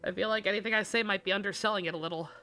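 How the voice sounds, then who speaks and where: A woman's voice: mid-range voice, very fast, pushed and bright. A woman livestreaming a game, giving casual, sweary, sardonic and rambling commentary. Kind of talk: casual live stream commentary and recap. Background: game music.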